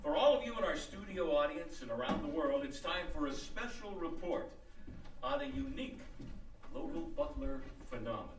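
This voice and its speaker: Theatrical, animated male stage host in a roomy space. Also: on the high side, normal speed. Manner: theatrical announcer delivery. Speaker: host and announcer of a live theatre broadcast. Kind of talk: live stage hosting and dramatic storytelling narration. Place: small live studio stage with audience. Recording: roomy distant stage pickup, loud music.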